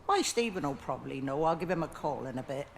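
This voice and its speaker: Sharp-tongued elderly English village widow. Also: female voice, low-pitched, sing-song, quick pace, creaky, a little hiss or hum, exaggerated voice. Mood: weary, puzzled. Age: older. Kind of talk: naturalistic video game voice acting.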